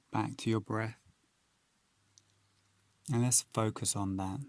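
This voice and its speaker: A man leading a guided meditation in a calm, unhurried, instructional manner with long pauses. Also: fairly low pitch, no echo.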